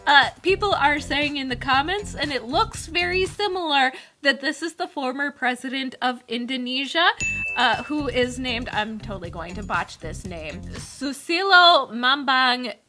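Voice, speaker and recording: A woman co-hosting a casual commentary show, explaining things in an expressive, conversational way. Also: high voice, a little slow, music in the background. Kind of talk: casual photo commentary chat.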